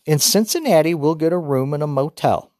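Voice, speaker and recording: Male reader putting on a methodical mother, higher and very animated, with a dry close sound. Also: no echo, lightly acted. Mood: planning. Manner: brisk, careful, list-like planning. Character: organized mother reading out a trip plan.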